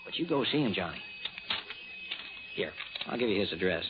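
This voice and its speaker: An American actor playing an exasperated, scoffing insurance agent who frets over business, in a 1960s radio drama. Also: male voice, sits low, big pitch swings, moderate pace. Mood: urgent, coaxing. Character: exasperated, dismissive male insurance agent, fretting over premiums. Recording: muffled, noisy old radio recording.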